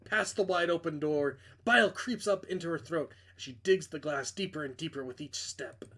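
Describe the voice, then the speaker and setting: A man's voice: a little high, normal speed, bright, forceful tone. A man hosting a game playthrough, reading a visual novel aloud and commenting casually. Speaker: game reviewer and host.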